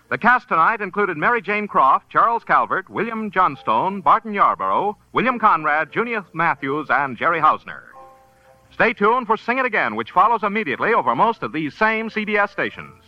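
A man announcing credits on a 1940s radio broadcast in a formal, polished manner. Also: high voice. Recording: dull vintage radio recording.

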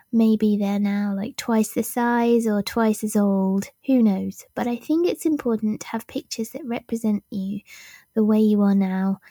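A woman hosting her own podcast, talking casually and self-deprecatingly, with plenty of ums, while her voice has gone a little deep and husky. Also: dark tone, no echo. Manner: self-deprecating, many ums, laughs at herself. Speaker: podcast host. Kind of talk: casual solo podcast talk.

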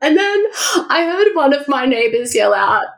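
A woman's voice: high voice, normal speed, gravelly. A woman co-hosting a psychology podcast, chatting and telling stories with lots of laughter. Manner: giggly, animated storyteller. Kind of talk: casual chat.

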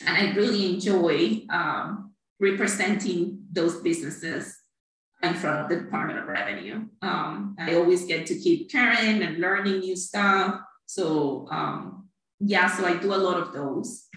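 Middle-aged woman, bright voice, chatty with fillers, echoey room far from mic. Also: lowish voice, expressive, a little slow. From Cuba. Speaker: accountant guest. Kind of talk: conversational interview.

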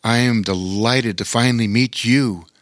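Storybook wizard, played by a man: earnest, wondering, slow delivery, medium pitch. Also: fairly bright tone, no room sound, studio quality, lightly acted. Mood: delighted. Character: friendly, awestruck male wizard.

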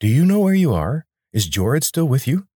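Male narrator doing a soft-spoken young queen, earnest, audiobook figure. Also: mid-range voice, very animated, normal speed, breathy edge, no echo, clean studio sound, pitched above the performer's own voice.

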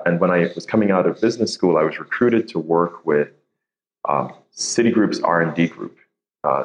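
A man's voice: rumbling low, reverberant, rough recording. A man from Nigeria and the Bahamas, a podcast guest telling his story casually, self-deprecating and laughing easily, often repeating words as he starts. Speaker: podcast guest, tech founder.

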